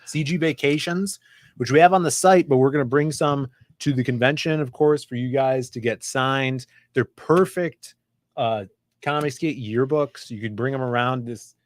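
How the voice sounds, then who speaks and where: A man co-hosting a casual comics livestream, chatty and relaxed, reading out viewer messages. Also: medium pitch, moderate pace, dry recording. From Chicago area. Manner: laid-back, chatty, reads super chats aloud.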